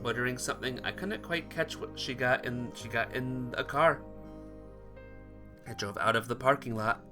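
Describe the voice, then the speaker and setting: A man's voice: medium pitch, moderate pace. Man reading story narration over music. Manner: occasional stumbles while reading. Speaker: visual novel playthrough host and reader. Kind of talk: reading visual novel narration aloud.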